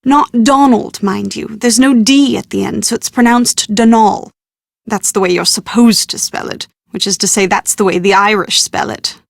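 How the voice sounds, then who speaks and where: An actress playing a well-bred, proud young British bride-to-be in a video game, with prim, articulate, genteel phrasing and exasperated confidence. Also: female voice, medium pitch, moderate pace, vocal fry, no room sound, clean studio sound. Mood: explaining, faintly disdainful. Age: young adult. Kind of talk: scripted video game dialogue.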